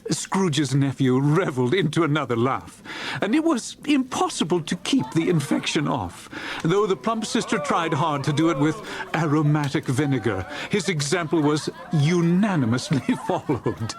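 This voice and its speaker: A man playing a genial storyteller of a Victorian Christmas tale, narrating with a jovial, relishing, expressive manner. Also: fairly high pitch, normal speed. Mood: amused. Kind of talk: dramatized audiobook narration.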